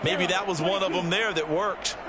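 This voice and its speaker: A man's voice: high-pitched, steady tone, normal speed, heavy background noise, lightly acted. A man playing an American ex-player football analyst, explaining the game in a folksy, conversational way for a video game. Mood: enthusiastic, chatty. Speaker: color commentator, former player. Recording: loud music behind the voice.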